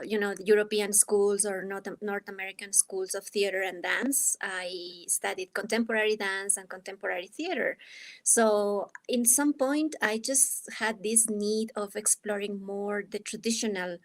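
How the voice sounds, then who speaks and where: A woman's voice: mid-range voice, fairly bright tone. A woman from Ecuador with an Ecuadorian Spanish accent, answering interview questions in a reflective, hesitant way as she searches for words. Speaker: guest, dance artist and anthropologist.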